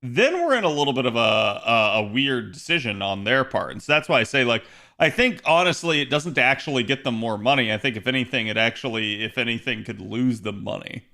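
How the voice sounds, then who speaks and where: A man chatting casually with his live-stream viewers. His voice is a little hoarse and raspy, and he rambles, correcting himself often between fillers. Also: medium pitch, expressive, fairly quick, bright, forceful tone. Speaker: game streamer. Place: home.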